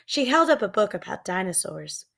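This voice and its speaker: A woman's voice: mid-range voice, very animated, normal speed, vocal fry, fairly bright tone. A woman reading scripted narration from a children's storybook. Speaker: storybook reader.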